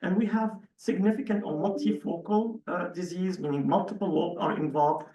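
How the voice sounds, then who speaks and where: A man's voice: high voice, fairly even intonation, normal speed, dark tone, dull audio. A man physician at a hotel conference podium, methodically explaining treatment choices with frequent fillers. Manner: methodical, hesitant with many fillers. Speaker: physician on a panel. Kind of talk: conference Q&A answer. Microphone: podium mic, heard from the room camera. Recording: echoey room, distant mic.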